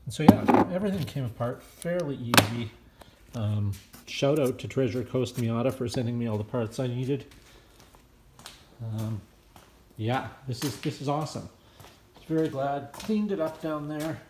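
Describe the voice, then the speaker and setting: A man's voice: medium pitch, a little slow, a little mellow. A man with a scratchy voice, casually walking through a car repair in his garage, rambling with plenty of fillers as he handles the parts. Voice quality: scratchy voice from smoke. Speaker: home mechanic vlogger. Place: indoor garage workshop. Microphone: phone camera held while filming.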